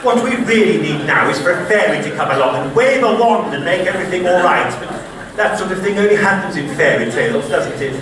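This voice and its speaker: Pantomime dame, male performer: cheeky middle-aged woman, lively swooping pitch, distant in an echoey theatre hall with crowd. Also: a little fast. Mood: wistful. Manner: theatrical, teasing, addresses children in audience. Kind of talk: pantomime stage acting, playing to the audience. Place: theatre stage with live audience.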